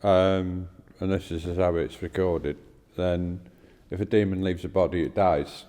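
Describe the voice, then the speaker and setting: A man with a lowish, soft-edged and mellow voice chats as a podcast co-host. He feels his way through his points tentatively, in a casual conversational style. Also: steady tone, unhurried, long reverb tail. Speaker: podcast co-host discussing the Bible. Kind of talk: casual discussion.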